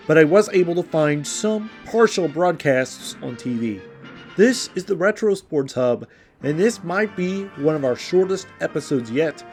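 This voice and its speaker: A man's voice: on the high side, a little mellow. A man with an American accent introducing a podcast like a radio host, rambling and wordy. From Indiana, United States. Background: music under the voice.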